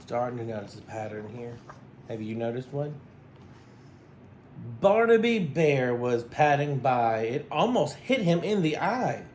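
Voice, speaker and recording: A man's voice: mid-range voice, very animated, a little slow, darkish tone, reverberant, slight background noise. A man reading a rhyming picture book aloud as a teacher, rhythmically, with asides to his listeners.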